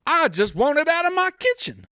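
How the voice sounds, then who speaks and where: A woman voicing a jittery, fussy small-town mayor who speaks in a shrill, panicky way. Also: very animated, fairly quick, bright, forceful tone, no room sound, studio quality, heavily acted. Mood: panicked.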